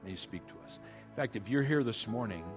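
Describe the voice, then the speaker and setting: A man preaching as a pastor, earnest and exhorting, with dramatic pauses, repeated phrases and a husky voice. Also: fairly low pitch, expressive, music in the background. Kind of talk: sermon with personal stories and prayer.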